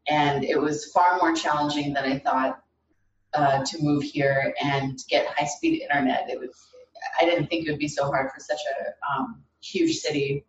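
Muffled and very echoey, this is a woman with a low voice. She gives a casual personal update in a hesitant, halting way, some distance from the mic. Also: animated. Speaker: participant in a group call. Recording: muffled, roomy, distant mic with faint noise.

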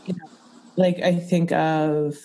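A woman's voice: deep voice, level tone, slow pace, mellow, slight background noise, poor audio. A woman in a group session sharing something personal, hesitant and searching for words, over a connection that cuts in and out. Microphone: unstable call connection.

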